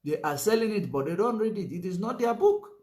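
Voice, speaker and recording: Emphatic high-voiced man. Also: lively intonation, a little fast, darkish tone, echoey room. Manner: emphatic, repeats points, many "you know" fillers. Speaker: commentator. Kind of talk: political commentary monologue.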